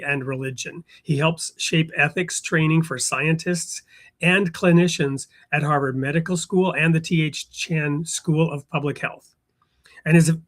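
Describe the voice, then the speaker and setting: A man hosting an interview show, introducing his guest and chatting in a friendly way with frequent fillers. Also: fairly high pitch. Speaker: host.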